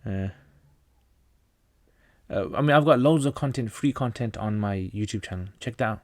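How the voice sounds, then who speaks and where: Low-voiced man from North Pakistan. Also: normal speed, a little mellow, no echo, studio quality. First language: Pashto. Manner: reads out questions then answers plainly, with frequent restarts. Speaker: channel host answering viewer questions. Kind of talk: casual livestream Q&A.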